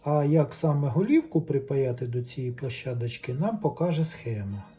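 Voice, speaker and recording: Man, soft dark timbre, medium pitch, casual DIY commentary, unhurried, thinking aloud. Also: expressive, lo-fi sound, faint music behind. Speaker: hobbyist repairer presenting a DIY video. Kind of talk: casual step-by-step repair commentary.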